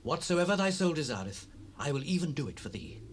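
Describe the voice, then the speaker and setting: An actor playing a loyal young prince, solemn and reassuring, declaiming like one swearing an oath in a scripture audio drama. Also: male voice, on the high side, sing-song, fairly quick. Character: young prince Jonathan, loyal, devoted friend. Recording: noisy cassette rip, distant ambience.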